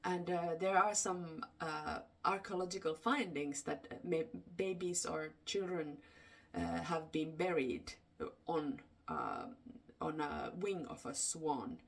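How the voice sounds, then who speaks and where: A woman with a Finnish accent, a native Finnish speaker, telling folklore unscripted in a halting way, searching for her words. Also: low-pitched, expressive. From Finland. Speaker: storyteller. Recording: distant microphone.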